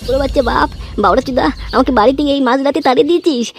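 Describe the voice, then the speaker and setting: A woman voicing a cheeky, foul-mouthed, lovestruck young man in a comedy cartoon, whiny, excitable and crude. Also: high-pitched, very fast, faint music behind. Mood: indignant, cursing. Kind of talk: amateur comedy cartoon dubbing. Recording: clearly noisy homemade cartoon audio.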